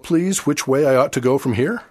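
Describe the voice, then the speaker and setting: Husky older man giving a sermon. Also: mid-range voice, moderate pace, dry recording. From Chile. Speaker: church speaker. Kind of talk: formal sermon.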